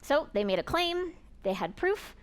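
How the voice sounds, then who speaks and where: A woman's voice: sits high, sing-song, moderate pace. A woman giving an earnest, explanatory presentation, speaking directly to her audience. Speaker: conference speaker. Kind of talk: presentation talk.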